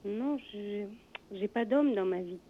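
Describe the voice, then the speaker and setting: Hesitant woman on a tinny phone line. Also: mid-range voice. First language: Arabic. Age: 50s. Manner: hesitant, halting, many restarts. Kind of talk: hesitant personal talk.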